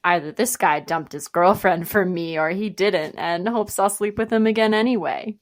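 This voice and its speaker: A woman's voice: fairly low pitch, moderate pace, natural voice. An actress playing a nervous, self-mocking young woman who feels queasy, in a radio play. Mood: anxious. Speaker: actor.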